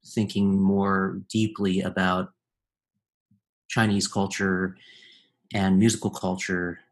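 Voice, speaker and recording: Fairly low-voiced guy, thinking out loud, chatting as a guest about his work. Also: flat delivery, slow. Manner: thoughtful, searching for words. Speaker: guest composer. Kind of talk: casual conversation.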